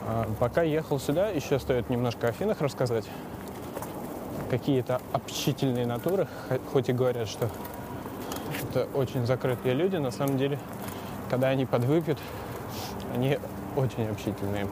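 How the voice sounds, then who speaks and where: A man's voice: medium pitch, moderate pace, pauses often. A man hosting a podcast, talking in a rambling, hesitant way as he rides a bicycle through city streets. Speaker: podcast host. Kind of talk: casual monologue while cycling outdoors. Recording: loud street traffic noise. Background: traffic and city sounds.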